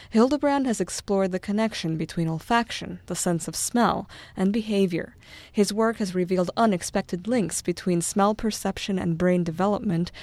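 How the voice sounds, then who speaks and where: A woman host giving a measured, scripted introduction to a guest scientist. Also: mid-range voice, warm tone, no echo. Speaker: podcast interviewer.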